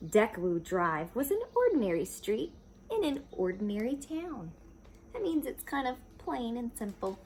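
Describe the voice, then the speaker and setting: A woman reading a children's storybook aloud expressively, pausing to explain things simply for young listeners. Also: on the high side, very animated, a little mellow, a little hiss or hum.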